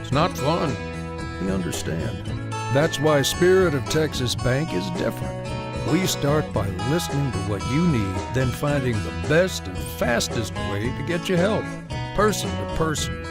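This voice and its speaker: Lively male ad announcer over guitar music. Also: medium pitch, unhurried. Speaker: advertisement announcer. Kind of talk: scripted bank radio ad narration.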